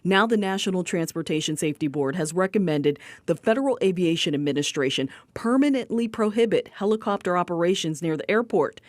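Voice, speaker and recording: An American woman delivers scripted TV news narration as a reporter. Her voice sits a little low, recorded close and dry with hardly any room around it. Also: lively intonation, fairly quick, hardly any pauses, no room sound.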